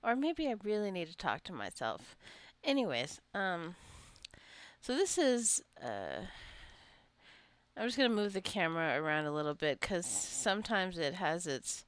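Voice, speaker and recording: A woman's voice: on the low side, sing-song, unhurried, no room sound. A woman giving casual, rambling gameplay commentary, drifting into chatty asides as she goes. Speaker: gaming video creator.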